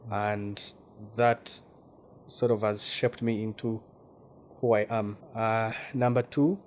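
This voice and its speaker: A man's voice: on the low side, flat delivery, slow pace. Ugandan man, thoughtful, faint hiss. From Western Uganda. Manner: thoughtful, repeats words while searching for them. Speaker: guest journalist. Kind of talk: casual interview talk.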